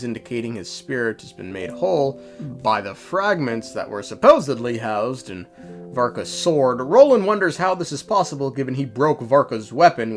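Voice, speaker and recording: Lively, higher-pitched man recapping a story. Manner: irreverent, slangy, tongue-in-cheek. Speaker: video creator recapping game lore. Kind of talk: scripted narration, story recap.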